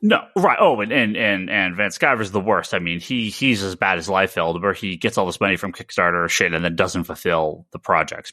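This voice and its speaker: A man's voice: lowish voice, pushed and bright, no echo, clean studio sound. An American man hosting a casual podcast discussion, giving opinions emphatically and stammering over repeated words. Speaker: podcast host; comic shop retailer.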